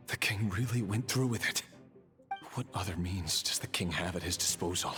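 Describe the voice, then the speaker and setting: An actor playing an earnest, brooding hero in a video game, narrating and speaking with dramatic intensity. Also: male voice, sits low, fairly bright tone. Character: earnest, brooding male hero recounting a dark night.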